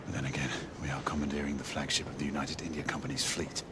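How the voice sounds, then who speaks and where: An actor playing a sober, loyal knight in a video game, with a measured, formal way of talking. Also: male voice, a bass voice, level tone. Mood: sober, wary. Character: steadfast, sober male knight, loyal second-in-command. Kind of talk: video game cutscene acting. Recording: loud engine and ambient noise.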